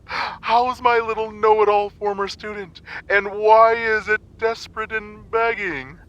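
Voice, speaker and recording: A smug old mentor in an audio drama, grumpy and teasing. His voice is bright and raised, with slow, theatrical, gloating phrasing. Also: high-pitched, fairly even intonation, highs cut off.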